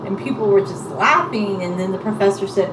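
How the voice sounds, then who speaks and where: A woman's voice: medium pitch, fairly quick. Woman chatting casually in a noisy, echoey room. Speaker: live-streamer chatting with viewers. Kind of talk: casual rambling chat. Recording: echoey room, distant mic, loud background noise.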